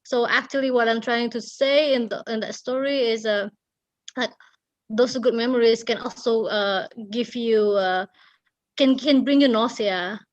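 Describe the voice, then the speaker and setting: An Indonesian woman tells a story in a casual, halting way, searching for her words. Her voice sits a little high. The sound is dull and lo-fi, with very little room around it. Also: fairly even intonation, dry recording. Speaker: writer and guest storyteller. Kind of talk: casual storytelling in an online talk.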